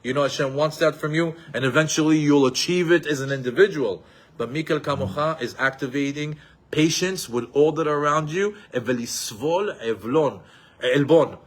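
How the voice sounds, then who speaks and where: A man teaches a Torah class in an animated, emphatic way. His voice sits somewhat high for a man. He quotes Hebrew texts and then explains them in English. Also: normal speed. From Israel, raised in New York.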